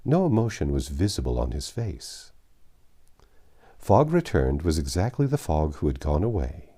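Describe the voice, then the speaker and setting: A man with a low, mellow voice narrates a classic novel. His pitch moves freely as he goes, and his delivery is measured and expressive, like an audiobook storyteller.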